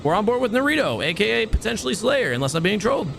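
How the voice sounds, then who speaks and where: A young man hosting and casting a live mobile game tournament, chatty and talking to his chat in a soothing, relaxed voice. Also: high-pitched, quick pace, vocal fry, a little hiss or hum. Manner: chatty, talks to chat, restarts words. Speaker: tournament host and caster. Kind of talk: live stream esports commentary.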